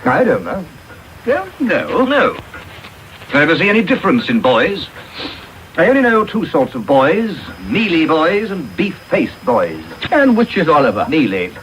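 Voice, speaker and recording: An actor playing a suspicious, blustering elderly English gentleman in a radio drama, cantankerous and emphatic, repeating himself. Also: a little high, huge pitch swings, measured pace, full character voice. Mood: grumbling, suspicious. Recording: faintly noisy radio taping.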